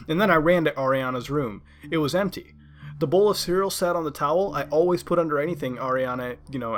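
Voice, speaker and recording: A man narrating a first-person horror story in a dramatic yet conversational way, with halting asides. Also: a little high, fairly bright tone. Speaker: creepypasta narrator.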